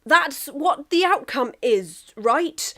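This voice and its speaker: Storybook role: muddled drunk gentleman played by a woman, halting and pompous, pitch high and swinging widely. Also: animated, exaggerated voice. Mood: drunkenly resolute. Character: tipsy, pompous English man, stubborn and muddled.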